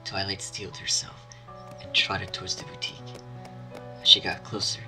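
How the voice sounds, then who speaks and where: A man narrating a scripted dark fan story in a dramatic storytelling style. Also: low-pitched, completely flat delivery, normal speed. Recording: faint music behind.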